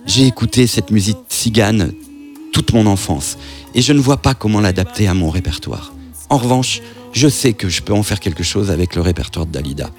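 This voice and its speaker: Low-voiced man, halting with fillers. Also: a little mellow. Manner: hesitant, many fillers and restarts. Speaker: festival programmer. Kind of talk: interview soundbite.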